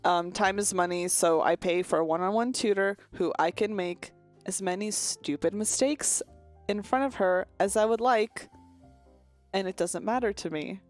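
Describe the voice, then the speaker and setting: Young woman, casual stream chat, mid-pitched voice, rambling, blunt, faint background music. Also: moderate pace. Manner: candid, rambling, frequent "like" and "um", swears casually. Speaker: VTuber streamer. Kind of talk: casual chat answering viewer questions.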